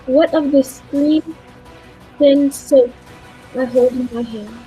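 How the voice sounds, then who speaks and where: Dazed, questioning time-traveler narrator played by a woman, very high register, mellow tone, faint music, vintage radio drama. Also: takes it slowly, low-quality recording. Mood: incredulous. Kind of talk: 1940s radio play narration.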